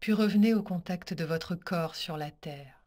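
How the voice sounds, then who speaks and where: Female yoga nidra guide, low register, very unhurried delivery, calm and soothing. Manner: calm, soothing, measured instructions with pauses. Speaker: yoga nidra teacher guiding a practice. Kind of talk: guided relaxation meditation.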